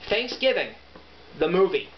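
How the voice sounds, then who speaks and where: A man's voice: high-pitched, very animated, measured pace. A man giving an amateur book review in a casual, rambling, irreverent way, correcting himself as he goes. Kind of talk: casual rambling review with some reading aloud. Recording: muffled, noisy, roomy, distant mic.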